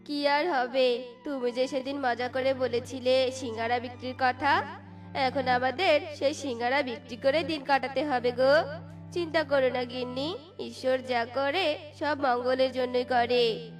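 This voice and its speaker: A woman's voice: sits high, fairly even intonation, unhurried, music in the background. Woman voicing Tona, a humble husband bird. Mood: hopeful. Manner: pleading, hawking cheerfully.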